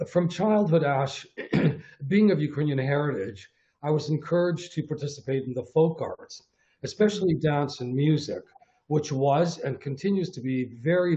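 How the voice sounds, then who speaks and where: A middle-aged man from Canada hosting a theatre interview, measured and earnest, a little halting when he talks off script. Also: a little high, mellow. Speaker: artistic producer and host. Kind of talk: formal introduction then conversational interview. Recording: dull lo-fi audio with faint music.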